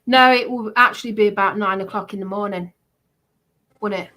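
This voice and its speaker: A woman hosts an informal live chat in a bright, sharp-edged voice. Her pitch sits in the middle for a woman, and she talks in a questioning, insistent way. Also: fairly even intonation, brisk. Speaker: live stream host. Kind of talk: casual live stream chat.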